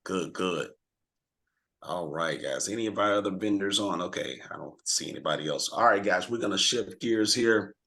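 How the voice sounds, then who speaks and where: Hoarse guy pumping up his team on a video call, bright forceful voice. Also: low-pitched, moderate pace. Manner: hype, rallying the group with questions. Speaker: team leader hosting a real estate mastermind call. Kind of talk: energetic motivational video call.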